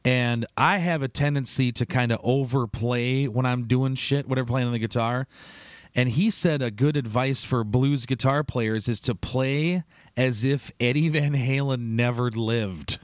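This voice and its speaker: A man's voice: medium pitch, measured pace, no room sound, studio quality. A man hosting a casual interview chat, rambling and easygoing, often laughing. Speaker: podcast host.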